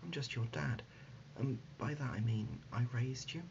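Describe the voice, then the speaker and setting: A man playing an absurd, deadpan dad in an ASMR comedy roleplay, speaking haltingly and gently, often dropping to a whisper. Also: mid-range voice, creaky, close to an everyday voice. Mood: deadpan insistent. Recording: dull lo-fi audio, loud background noise.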